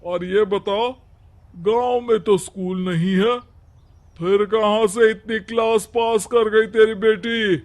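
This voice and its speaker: A man's voice: high voice, steady tone, slow. A man playing a stern, domineering village headman in a cartoon moral story, with a commanding, scornful manner. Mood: scornful, suspicious.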